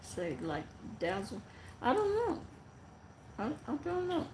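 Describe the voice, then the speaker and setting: A soft-voiced live-stream host chatting casually with viewers in a rambling, halting way before a story reading. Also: high voice, huge pitch swings, slight background noise. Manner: rambling and halting, with many fillers and trailing sentences.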